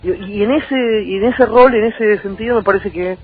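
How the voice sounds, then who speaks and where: A young man from Avellaneda with a Buenos Aires accent, giving a spontaneous political interview on radio in a halting way full of false starts. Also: sits high, husky. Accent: Buenos Aires Argentine. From Avellaneda, Buenos Aires, Argentina. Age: young adult. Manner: halting, many verbal tics and false starts. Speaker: political candidate being interviewed. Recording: dull lo-fi radio audio with music.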